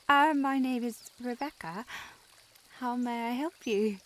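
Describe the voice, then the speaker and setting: A woman's voice: a little high, slow pace, slight background noise, rough recording. A woman playing a timid young shop assistant in a historical audio roleplay, nervous, stammering and eager to please. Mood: shy, eager.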